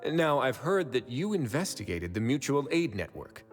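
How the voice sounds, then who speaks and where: A man voicing a calm, confident prison administrator in a video game, with a dry, easy way of talking. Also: mid-range voice, moderate pace, lightly acted. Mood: explaining. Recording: game audio with long reverb and music.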